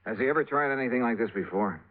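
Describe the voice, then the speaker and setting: An American actor playing a wry, skeptical insurance investigator in 1950s radio detective drama, sardonic and casual. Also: male voice, medium pitch, rapid-fire, phone-quality sound, lightly acted. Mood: wry, probing. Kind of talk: 1950s radio detective drama acting and first-person narration. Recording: thin, band-limited vintage radio recording.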